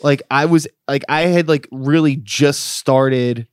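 A man in his late thirties hosting a laid-back interview, rambling and chatty with lots of fillers and the occasional curse. His voice sits at an ordinary middle pitch. It is recorded close and dry, with no room sound. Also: steady tone, slow, clean tone, no echo. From Pittsburgh area, USA. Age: 30s. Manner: loose and chatty, heavy filler "like", swears casually. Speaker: podcast host. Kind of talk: casual interview chat.

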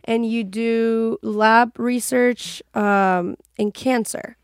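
A young woman from Iran hosting a casual interview podcast, chatty and quick to react. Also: a little high, steady tone, clean tone, dry recording, clean studio sound. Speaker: podcast host.

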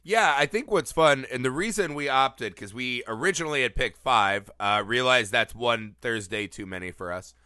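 A man hosting a casual film podcast, animated and teasing, a little sniffly from a cold. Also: medium pitch, normal speed, hardly any pauses, smooth, dry recording, studio quality. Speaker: podcast host. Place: Los Angeles area.

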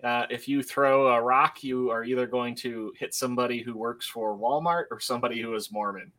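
A man in his thirties with a bright, forceful tone chats on a casual livestream. He restarts his sentences, repeating words before he finds his point. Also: medium pitch, steady tone. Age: 30s. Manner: halting, repeats words as he starts. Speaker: podcast co-host.

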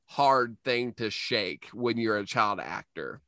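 A man's voice: on the low side, expressive, takes it slowly, sharp tone, dry recording. Wry man bantering, dry recording. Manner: wry, riffing, loose and conversational. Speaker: podcast co-host. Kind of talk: casual joking podcast banter.

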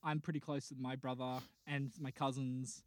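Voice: medium pitch, level tone, normal speed, dry recording. Playful Australian podcast co-host. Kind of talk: casual chat.

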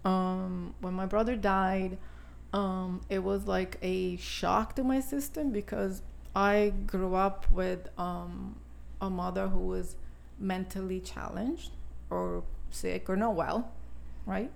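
A woman in her sixties from Italy, speaking English with an Italian accent as a podcast guest, talking in a halting way with many fillers and restarts. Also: mid-range voice, steady tone, gravelly. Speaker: podcast guest, spiritual teacher. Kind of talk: casual conversation.

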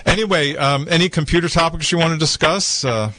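A man hosting a casual call-in tech show from a small radio studio, talking in a relaxed, rambling way with lots of fillers and restarts. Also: a little high, brisk, no echo. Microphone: studio microphone with headphones.

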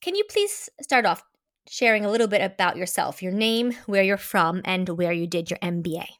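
A woman's voice: mid-range voice, lively intonation, moderate pace, fairly bright tone, faint music behind. A woman from New York co-hosting a podcast, interviewing a guest in an upbeat, enthusiastic way. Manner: enthusiastic and upbeat, saying brilliant often. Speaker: podcast co-host. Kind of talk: conversational interviewing.

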